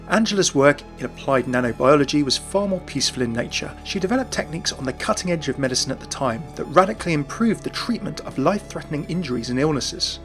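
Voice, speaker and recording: A man with a somewhat high-pitched voice delivers scripted narration, steady and explanatory as he walks through a character's history. Soft music plays faintly underneath. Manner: measured, explanatory storytelling. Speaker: game lore narrator.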